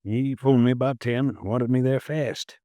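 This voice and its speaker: A man's voice: medium pitch, fairly even intonation, normal speed, mellow, dry recording, natural voice. An actor playing a worried, blunt male insurance agent in a 1950s radio drama. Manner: blunt, grumbling.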